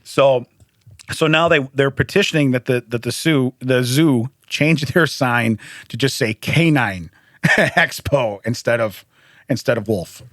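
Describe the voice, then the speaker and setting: A man's voice: medium pitch, a little slow, a bit bright, no echo, studio quality. A man hosting a podcast, telling stories in casual chat, laughing often and restarting his sentences. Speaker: podcast host.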